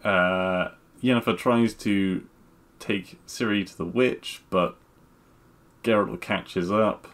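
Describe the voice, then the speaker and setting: Low-voiced man in casual podcast chat. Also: steady tone, takes it slowly, a bit bright. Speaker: podcast co-host.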